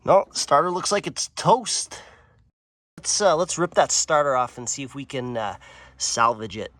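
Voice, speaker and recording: A man's voice: mid-range voice, big pitch swings, unhurried, fairly bright tone. A man with a slightly nasal voice chatting offhandedly as he works in his home workshop, thinking aloud with plenty of uhs. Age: young adult to middle-aged, father of a daughter. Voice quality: slightly nasal from a sinus infection. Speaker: workshop channel host. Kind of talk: casual repair vlog narration. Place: home workshop or yard beside a mower.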